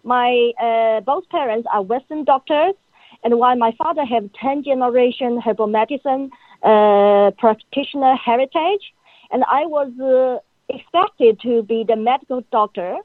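A woman's voice: a little high, dry recording. Woman on a tinny phone line. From China. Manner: halting, frequent uh fillers, non-native grammar. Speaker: guest, PhD in psychology. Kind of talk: phone-in interview answers.

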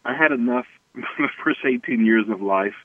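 An American man from Tennessee telling his personal story earnestly and haltingly as an interview guest. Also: medium pitch, dry recording. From Tennessee, United States. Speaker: interview guest, anti-racism activist. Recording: tinny band-limited phone-like line.

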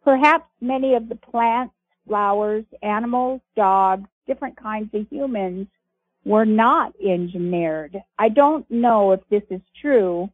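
Woman reader, deliberate and unhurried, slightly husky, muffled telephone sound. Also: mid-range voice, no echo. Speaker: reader of archived messages on a conference call. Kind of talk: reading a transcript aloud. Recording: muffled phone-line audio.

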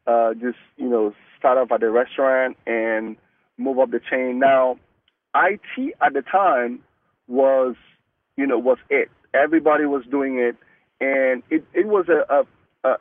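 A man's voice: mid-range voice, no room sound. A man from Cameroon, whose first language is Mungaka, talking earnestly as an interview guest and often restarting his sentences. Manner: earnest, halting with many restarts. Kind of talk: casual interview talk. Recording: dry, tinny phone-like line.

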